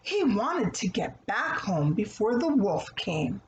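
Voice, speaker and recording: Very slow, expressive woman storyteller. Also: medium pitch, lo-fi sound. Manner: deliberate, expressive, with pauses between short phrases. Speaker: storybook reader. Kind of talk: children's read-aloud storytelling. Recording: roomy echo, light background noise.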